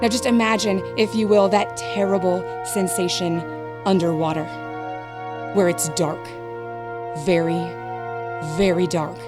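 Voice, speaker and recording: A woman's voice: fairly low pitch, fairly even intonation, unhurried, long pauses, music in the background, close to an everyday voice. A woman playing a magician's wife who announces his stage act in a formal, dramatic manner for an audio drama. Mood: dramatic, ominous. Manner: formal, dramatic address to an audience.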